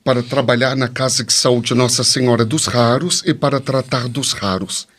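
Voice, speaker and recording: A man's voice: medium pitch, steady tone, normal speed, a bit bright. A Brazilian man, a priest, speaking as a guest in a podcast conversation.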